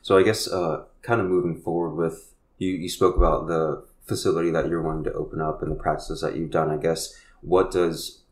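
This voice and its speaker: A man's voice: very deep voice, steady tone, a little fast, few pauses. A man hosting a podcast, speaking earnestly and rambling, often repeating his words. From United States. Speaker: podcast host. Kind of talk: casual conversation. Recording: echoey room.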